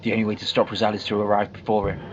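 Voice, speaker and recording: A man voicing a brisk, eccentric, time-travelling alien adventurer in a fan audio drama. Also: fairly low pitch, monotone, noisy background, close to an everyday voice. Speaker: lead character. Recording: slightly muffled, over loud music.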